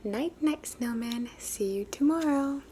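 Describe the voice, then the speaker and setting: A sweet little bunny, played by a woman speaks in a tender, hushed whisper. The voice is a little high and very gentle, and it moves slowly, like a goodnight story. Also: background noise.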